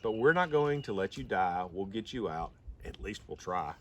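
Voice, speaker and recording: A man's voice: sits low, animated, moderate pace, fairly bright tone, recorded from a distance, slight background noise. A man voicing an earnest boy, the master of a dog, in a children's storybook. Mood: sad, resolute.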